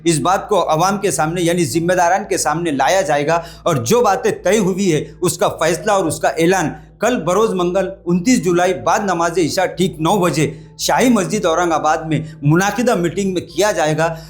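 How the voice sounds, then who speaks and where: A man's voice: sits high, moderate pace. A man from India presents a news report as anchor, formally addressing the viewers.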